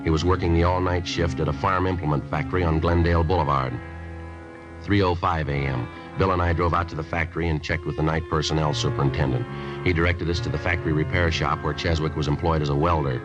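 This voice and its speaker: An actor playing a calm, methodical American police detective sergeant in an old radio procedural, matter-of-fact and understated. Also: male voice, extremely low pitch, muffled recording. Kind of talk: 1950s radio police procedural, narration and questioning.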